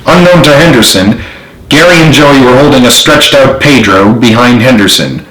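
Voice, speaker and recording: Guy reading his own book out loud, dramatic storytelling, medium pitch, pretty echoey room. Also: animated, moderate pace. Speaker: author reading own novel. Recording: roomy, echoey home recording.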